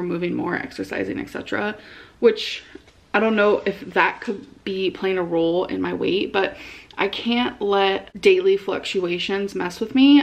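A woman's voice: lowish voice, gravelly. Young American woman chatting casually. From United States. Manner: informal, slangy, frequent "like". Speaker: vlogger. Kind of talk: casual chat to camera. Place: indoor living room at home.

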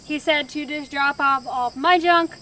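A woman's voice: high voice, normal speed, sharp tone, slight background noise. A woman in her twenties from Iowa, chatting casually as she vlogs while walking through an old farm barn. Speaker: vlogger. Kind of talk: casual vlog narration. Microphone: handheld camera close to the face.

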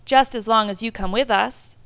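A woman voicing eager, excited children pleading with their father in a storybook. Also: on the high side, moderate pace, smooth, no room sound.

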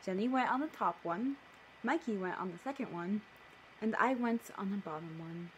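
A woman's voice: medium pitch, normal speed, a little hiss or hum, low-quality recording. A young woman casually reading her own story aloud on camera, breaking off for playful, mock-indignant asides. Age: young adult. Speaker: video creator. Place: living room at home, indoors.

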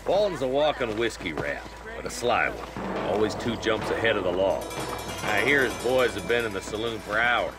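An actor as a deep, gruff Old West marshal. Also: male voice, expressive, normal speed, loud music behind the voice, natural voice. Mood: gruff, urgent. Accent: American West. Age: older. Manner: gruff, blunt, folksy. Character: tough, weary, no-nonsense older Old West marshal. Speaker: town marshal. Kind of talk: dramatic video game acting.

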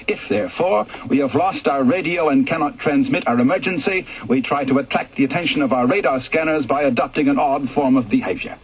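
A man voicing a brisk male air force briefing officer who reads out emergency procedure in a formal, instructive way. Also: on the high side, no echo, voice pushed up. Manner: crisp, instructive, formal delivery.

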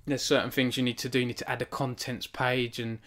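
A man in his thirties speaks close to a studio microphone in a small room at home. His voice sits at a middle pitch. He reads steadily, then chats earnestly and a little self-consciously with his viewers, restarting his words often. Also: level tone, fairly quick, a bit bright, a little hiss or hum. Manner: earnest and self-conscious, with frequent restarts and fillers when chatting. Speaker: author hosting a book launch stream. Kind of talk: reading aloud from his novel, then chatting with live viewers. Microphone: studio microphone on a stand in front of him.

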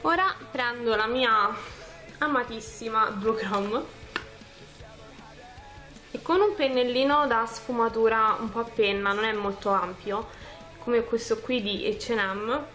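Higher-voiced young woman chatting informally. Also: measured pace, bright, forceful tone, faint music behind. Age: 20s. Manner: informal, chatty, narrates actions step by step. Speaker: beauty vlogger. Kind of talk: casual makeup tutorial chat to camera. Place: small furnished room at home, indoors.

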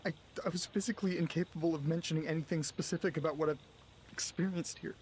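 A man acting in a horror audio drama as an anxious, confused son on the phone, speaking in a halting, stammering way. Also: fairly high pitch, steady tone, darkish tone, slight background noise, natural voice. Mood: confused, distressed. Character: anxious, confused young man confiding in his mother.

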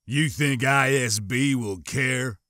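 Cynical male soldier, done by a male narrator, gruff, blunt, scornful, audiobook role. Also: mid-range voice, takes it slowly, pushed and bright, no room sound, studio quality.

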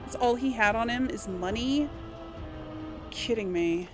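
A woman's voice: a little high, a little slow. A woman hosting a gaming video, giving casual commentary and role-playing along. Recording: game music under the voice. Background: game music.

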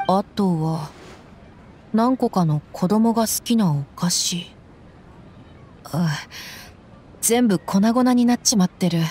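A woman's voice: mid-range voice, takes it slowly, pauses often, a little mellow, slightly rough. A woman playing a tough, blunt young female mercenary who talks brusquely and casually in a Japanese anime game. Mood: exasperated.

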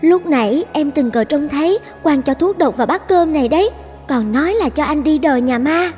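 A woman's voice: sits high, animated, moderate pace, darkish tone. A woman voicing a kind, brave young girl in a cartoon fairy tale, earnest and pleading, with music behind. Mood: urgent concern.

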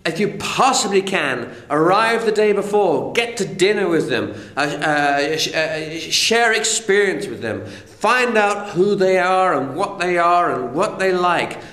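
A man's voice: high voice, animated, measured pace, a bit bright, roomy sound. A middle-aged man giving presentation advice straight to camera, emphatic and full of rhetorical questions. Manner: emphatic, rhetorical questions, addresses viewers as friends. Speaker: keynote speaker. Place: hotel room indoors.

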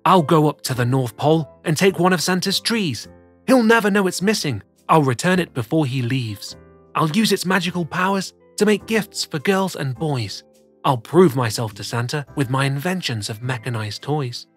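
A man's voice: on the high side, lively intonation, normal speed, fairly bright tone, breathy edge, faint music behind. A man voicing an eager, ambitious little boy in a storybook, with a determined, boastful manner.

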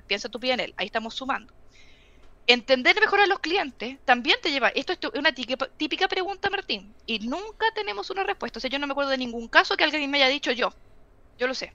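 A Chilean woman in her thirties talks through her points at a rapid clip, firing off rhetorical questions in a casual video-call interview. Her voice sits a little high and cuts bright. The headset audio is dry and a bit dull. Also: dry recording. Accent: Chilean Spanish. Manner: animated, rapid-fire explaining with rhetorical questions. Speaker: guest e-commerce expert. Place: home room with bookshelves, indoors.

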